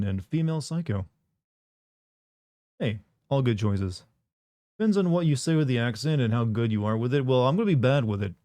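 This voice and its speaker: A man's voice: medium pitch, warm tone, no room sound. A man streaming a game live, chatting casually in a rambling, joking way. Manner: rambling and joking, lots of fillers like "like". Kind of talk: casual live gameplay commentary.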